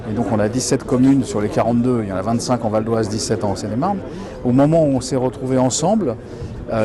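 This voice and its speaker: A man, a local elected official, explaining things in an interview in colloquial, hesitant phrasing. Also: mid-range voice.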